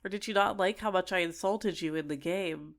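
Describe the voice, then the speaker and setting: A woman's voice: lowish voice, a little fast, lightly acted. A woman playing an obsessive, sentient dating-sim character in an ASMR roleplay, clingy and coaxing with menacing sweetness. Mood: teasing, coaxing. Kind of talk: scripted ASMR roleplay monologue.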